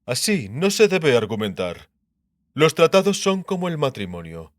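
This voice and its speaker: Sardonic king, very animated delivery. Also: on the high side, normal speed, dry recording. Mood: mocking. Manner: pompous, dry, sardonic. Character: shrewd, mocking, self-assured male king. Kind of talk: dramatised audiobook dialogue.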